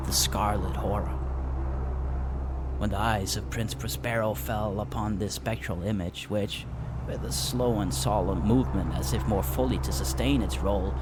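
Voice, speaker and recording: A man reads a classic horror tale aloud as dramatic live storytelling, delivering it solemnly and deliberately in measured phrases. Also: lowish voice, very noisy. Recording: live one-take reading with loud music. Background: loud music under the voice.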